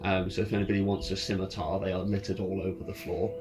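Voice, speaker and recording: A man acting as game master, narrating an improvised tabletop game in a halting way, often rephrasing as he goes. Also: sits low, level tone. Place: room, in-person game session. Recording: ambient music behind the voice. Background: ambient music.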